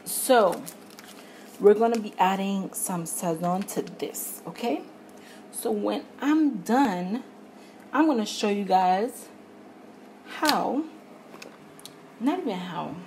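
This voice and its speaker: A woman's voice: mid-range voice, animated, slow pace, long pauses, darkish tone, slight background noise, low-quality recording. A young woman chatting straight to her viewers while she cooks in her home kitchen, recorded on a phone. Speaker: vlogger. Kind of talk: casual cooking vlog. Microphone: phone camera.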